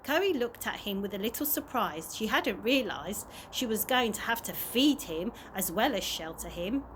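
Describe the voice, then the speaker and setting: A woman's voice: mid-range voice, animated, normal speed, a bit bright. A woman reading a children's story aloud on a slightly noisy amateur recording. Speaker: early years practitioner reading stories.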